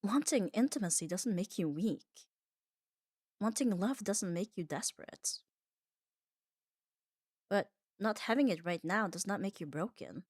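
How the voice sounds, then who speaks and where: A woman's voice: mid-range voice, expressive, a little mellow, slightly rough, dry recording. Soothing woman, close and dry. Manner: reassuring, soothing, unhurried. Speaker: ASMR audio creator. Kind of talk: calm ASMR comfort monologue.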